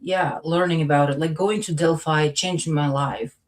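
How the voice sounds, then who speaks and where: Woman guest from Latvia. Low voice, unassuming, feels for her words in informal personal talk. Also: fairly even intonation, moderate pace. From Latvia, then part of the Soviet Union. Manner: modest, hesitant, searching for words. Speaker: interview guest. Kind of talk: casual personal conversation.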